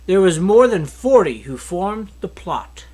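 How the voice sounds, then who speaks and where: Man reading scripture with lively pitch. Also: unhurried. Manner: steady, earnest reading with small slips and restarts. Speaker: scripture reader. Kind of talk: reading a Bible chapter aloud.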